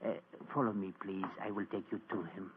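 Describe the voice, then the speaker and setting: An actor playing a faithful old German manservant, deferential and measured, with a German accent, in a radio drama. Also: male voice, lowish voice, flat delivery, close to an everyday voice. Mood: solemn, discreet. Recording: muffled, noisy old radio recording.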